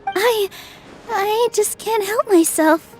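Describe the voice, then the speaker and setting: A woman's voice: very high voice, slow pace, a little mellow. A woman playing a kind, modest young dancer in an anime video game, speaking politely and earnestly. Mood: eager. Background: music.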